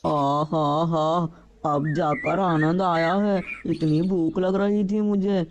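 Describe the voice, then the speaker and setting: High-pitched scheming cartoon crow, played by a man. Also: steady tone, takes it slowly, highs cut off, a little hiss or hum. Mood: smug contentment. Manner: exclamatory, self-talking, repeats words. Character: lazy, greedy, scheming male crow. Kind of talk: children's cartoon story dialogue.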